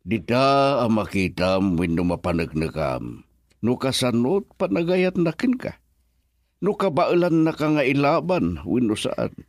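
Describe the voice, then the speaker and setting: A man's voice: mid-range voice, very animated, measured pace, no echo, studio quality. Man playing a stern father, dramatically swooping. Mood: stern, advising. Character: stern protective proud father of a grown daughter. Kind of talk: radio melodrama acting.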